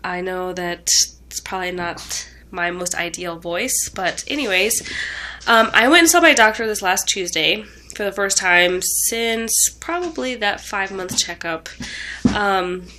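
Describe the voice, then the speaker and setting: Stuffy-nosed young woman, bright voice. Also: medium pitch, lively intonation, a little slow, slight background noise. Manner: rambling, with frequent ums and self-corrections. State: stuffed up from a cold; tired. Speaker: vlogger. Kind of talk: casual rambling vlog update.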